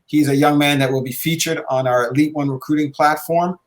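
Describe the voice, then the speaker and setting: A middle-aged man hosting a show conversationally, halting often with filled pauses. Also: on the high side, fairly even intonation. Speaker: podcast host, basketball coach.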